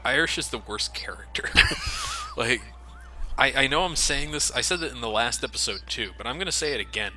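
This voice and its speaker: Very bright-voiced sardonic man. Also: mid-range voice, lively intonation, brisk, a little hiss or hum. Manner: sardonic, sweary. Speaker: let's play host. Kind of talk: casual game commentary.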